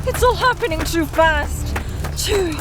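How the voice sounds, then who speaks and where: A woman's voice: extremely high pitch, expressive, measured pace. An actress playing a young woman mage who speaks in an anxious, pleading way in an audio drama. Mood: panicked. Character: young woman mage, frightened and guilt-stricken. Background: music.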